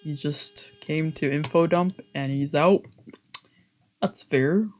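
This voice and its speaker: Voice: mid-range voice, slow, a little hiss or hum. A streamer giving casual, reactive let's play commentary on a visual novel, often muttering and exclaiming to themself.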